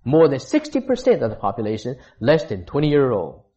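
A missionary preacher from Texas delivers a sermon in a church at an ordinary, middling pitch. He stops, restarts and hunts for words as he goes. The recording is dull and lo-fi. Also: wildly swooping pitch, mellow. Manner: halting, with frequent restarts and searching for words.

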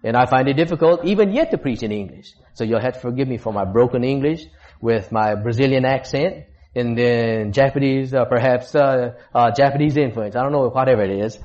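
Halting preacher from Texas, lo-fi tape. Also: male voice, medium pitch, darkish tone. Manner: halting, with frequent restarts and searching for words. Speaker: missionary preacher. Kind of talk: sermon. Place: church.

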